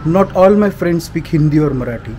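A man in his thirties with an Indian accent, speaking English as a second language, talking to a phone camera at arm's length in a measured, reflective way. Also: a little high, expressive, music in the background. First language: not English. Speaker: vlogger. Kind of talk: daily vlog, talking to camera. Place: outdoors in a leafy park and street. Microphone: phone camera held at arm's length. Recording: outdoor phone audio with clear background noise.

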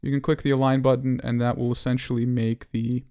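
A man presenting an unscripted software tutorial as its developer, explaining technical detail in a halting, hesitant way. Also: medium pitch, little pitch movement, clean tone.

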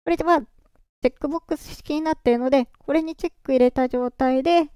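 A presenter walking through software setup step by step in a calm, explaining way, their voice run through a pitch-raising voice changer. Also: quick pace, no room sound, clean studio sound. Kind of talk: screen-recorded software walkthrough.

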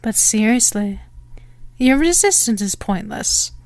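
A woman voicing a possessive, menacing female vampire in an ASMR roleplay, teasing and theatrical in her deliberate phrasing. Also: a little high, expressive, a little mellow, no room sound. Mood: smug, commanding.

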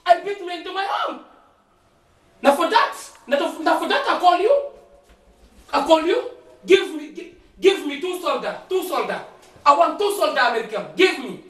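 A man's voice: very high voice, sing-song, measured pace, long pauses, echoey room, distant mic, full character voice. A man playing a blustering accused rich man. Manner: loud, blustering and defiant, mixing in broken English. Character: arrogant rich man resisting police search. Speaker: homeowner accused of murder. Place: house interior.

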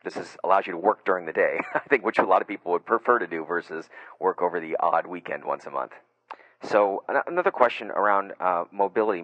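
A middle-aged man with a low voice hosts a technology webcast in an upbeat, chatty way. He frames long questions and scatters fillers as he goes. The sound is dry and close to the mic. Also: dry recording, lo-fi sound. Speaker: webcast host. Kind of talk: conversational tech webcast interview.

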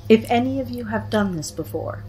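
A woman playing a bossy, eerie teenage girl leading a séance in an audio horror drama, taunting and drawn-out in her delivery. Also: a little high, expressive, warm tone, faint music behind, close to an everyday voice, poor audio. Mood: commanding, coaxing.

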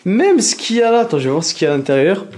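A young man vlogging casually at home, excitable and chatty, talking straight to his viewers and laughing often. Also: high-pitched, very fast. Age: young adult. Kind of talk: casual vlog to camera. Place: indoor bedroom at home. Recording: roomy echo, voice from off-mic.

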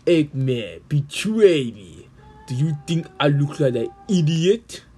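Someone doing a gruff, hot-tempered echidna warrior. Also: mid-range voice, big pitch swings, takes it slowly, warm tone, soft background music, voice pushed down, heavily acted. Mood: angry. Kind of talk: amateur comic dub.

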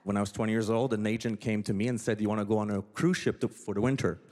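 A man's voice: on the low side, fairly even intonation, fast talker, a little mellow. A man, a magician answering questions nervously on stage. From Montreal. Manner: nervous, laughing lightly. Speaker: contestant, magician. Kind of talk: casual interview answers. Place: large theater stage. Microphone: handheld mic.

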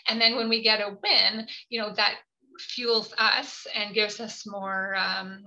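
A woman speaks over a slightly dull video call, her voice very bright and forward. She talks in an earnest, halting way, full of ums and you-knows, as she presents to a webinar audience. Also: medium pitch, level tone, gravelly. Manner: hesitant, many fillers, earnest. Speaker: family physician presenting a webinar.

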